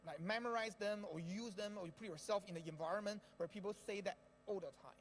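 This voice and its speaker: A man whose first language is Indonesian talks to an audience, explaining step by step and checking in with "right?" again and again. His voice sits fairly high for a man. The sound carries a long hall reverb. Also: fast talker. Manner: explanatory, frequent tag "right?". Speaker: speaker at an education event. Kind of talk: talk to an audience.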